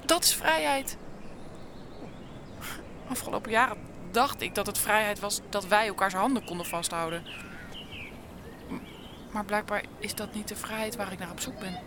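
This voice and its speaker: Casting: actress as young woman, freedom-hungry, wistful then defensive, mid pitch, audio drama, noisy background. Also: normal speed, long pauses, natural voice. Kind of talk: dystopian audio drama acting.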